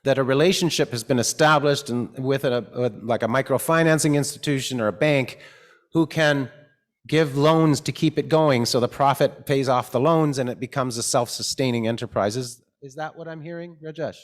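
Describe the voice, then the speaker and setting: A man's voice: medium pitch, moderate pace. A man facilitating a workshop panel, putting questions to speakers and restating their answers to check he has understood. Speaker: session facilitator. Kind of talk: hosting a conference workshop session.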